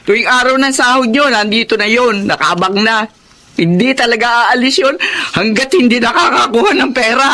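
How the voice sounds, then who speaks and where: A woman's voice: on the high side, expressive, normal speed, sharp tone. A woman playing an outspoken middle-aged Filipino wife and mother in a radio drama, emphatic and indignant. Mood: bitter.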